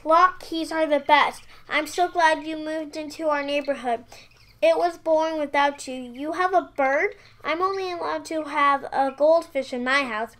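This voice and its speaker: A woman's voice: high voice, a little slow, a bit bright. A young female performer playing a friendly, eager little neighbor girl in a radio play.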